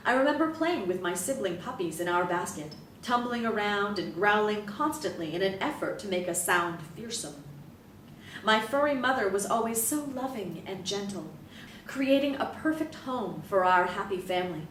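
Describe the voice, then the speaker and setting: A woman gives a measured, deliberate reading of a first-person story. Her voice sits at an ordinary middle pitch and carries a noticeable room echo. It is caught from some distance off the mic, with a faint low rumble underneath. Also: animated, fairly bright tone. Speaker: reader. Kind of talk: reading a novel excerpt aloud.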